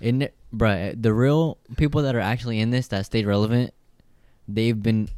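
A man's voice: fairly low pitch, moderate pace, darkish tone, clear, resonant tone, no room sound, clean studio sound. A young man co-hosting a podcast, talking bluntly and with emphasis, swearing often. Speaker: podcast co-host. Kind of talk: casual podcast chat.

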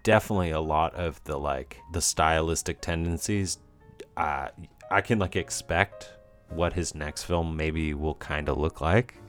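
A young man co-hosting a casual film podcast, speaking haltingly with lots of fillers. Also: a bass voice. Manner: halting, filler-heavy, chuckling. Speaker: podcast co-host. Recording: faint music behind.

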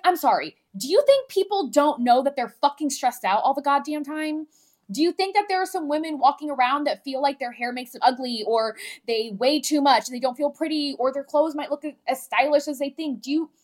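A woman with a lisp, hosting a solo podcast as a coach, talking in a frank, emphatic, conversational way and swearing freely. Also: high-pitched. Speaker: podcast host and marketing coach. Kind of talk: candid solo podcast talk.

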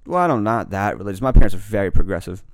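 A man's voice: fairly low pitch, animated, racing, creaky, dry recording. A young man from Pakistan in casual podcast chat, rambling and slangy, often correcting himself mid-sentence.

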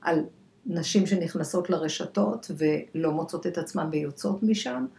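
An Israeli woman speaking as an expert guest in a conversational podcast interview, halting and repeating words for emphasis as she searches for phrasing. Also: on the low side, vocal fry, warm tone. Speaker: guest expert, clinical dietitian. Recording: echoey room.

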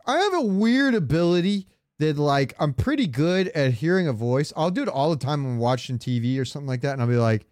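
A man's voice: on the high side, sing-song, fairly quick, few pauses, a little mellow, no room sound, clean studio sound. A man co-hosting a podcast in casual comedic chat, rambling through jokey, sweary stories. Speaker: podcast co-host.

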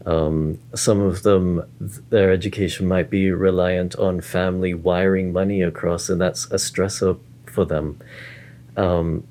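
A man from student services, speaking earnestly and in a measured way in a casual interview. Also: low-pitched, little pitch movement, dark tone, a little hiss or hum.

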